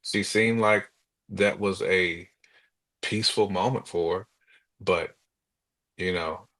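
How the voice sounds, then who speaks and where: A man's voice: sits low, steady tone, slow, a bit bright. A man co-hosting a podcast in casual conversation, reasoning aloud and often repeating words as he goes. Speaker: podcast co-host.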